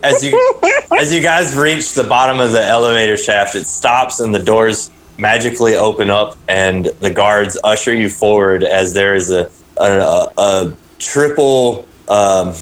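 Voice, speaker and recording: Dm guy narrating a tabletop game, lowish voice, lots of ums. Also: big pitch swings, unhurried, a bit bright, poor audio. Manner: hesitant, many fillers. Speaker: dungeon master.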